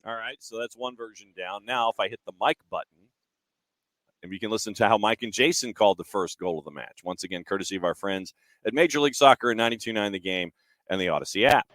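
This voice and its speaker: A man's voice: fairly low pitch, quick pace, fairly bright tone, dry recording. A man hosting a sports show, chatting casually and introducing match clips in a self-deprecating way. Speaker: sports talk show host.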